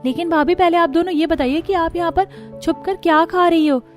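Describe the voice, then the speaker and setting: A nosy young sister-in-law from a family cartoon , acted by a woman. Her voice is very high, and she teases and bargains with insistent questions. Music plays underneath. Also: normal speed. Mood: accusing, curious. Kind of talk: Hindi family cartoon dialogue.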